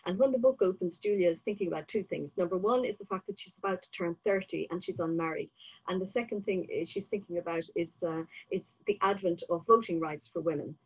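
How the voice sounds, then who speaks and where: An older woman with a husky voice, asking prepared questions in a literary interview over a video call, with slightly dull sound. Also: fairly low pitch, fast talker, a little mellow. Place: book-lined room at home, indoors. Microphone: computer mic on a video call.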